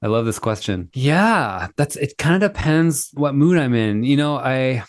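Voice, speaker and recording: A man's voice: mid-range voice, lively intonation, measured pace, no room sound, clean studio sound. A man, a singer-songwriter, chatting as a guest in an upbeat, enthusiastic way over a video call. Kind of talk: casual interview chat.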